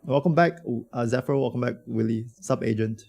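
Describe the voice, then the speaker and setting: A man streaming a game with a hoarse voice from a cold, chatting loosely and slangily with his viewers. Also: medium pitch, a little fast, a little mellow, faint music behind. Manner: slangy, rambling, talks to chat. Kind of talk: casual livestream chat reacting to a game.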